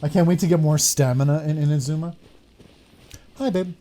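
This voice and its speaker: A man's voice: fairly high pitch, steady tone, a little fast, dark tone, slight background noise. A man playing a video game and commenting casually, swearing and muttering asides to himself.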